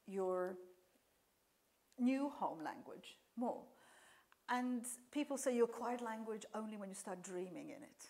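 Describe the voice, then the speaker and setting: Casting: woman academic, Serbian native, mid pitch, explains at length with restarts. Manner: explanatory, with frequent fillers and restarts. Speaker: lecturer. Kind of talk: answering audience questions after a talk.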